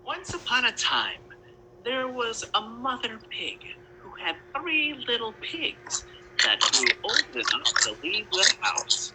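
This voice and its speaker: A woman's voice: a little high, sing-song, slow, very noisy. A woman narrating a storybook tale, adding wry asides as she goes.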